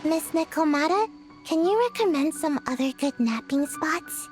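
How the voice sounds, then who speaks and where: A woman voicing a small, bookish girl in a video game, who takes charge briskly yet gets flustered easily. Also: sits high, animated, music in the background. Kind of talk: video game character dialogue.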